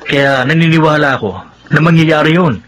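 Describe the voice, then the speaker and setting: A man's voice: on the high side, steady tone, normal speed, fairly bright tone, natural voice. A man playing a supportive young village man in a radio drama, easygoing and reassuring. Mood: friendly. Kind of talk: Filipino radio drama acting.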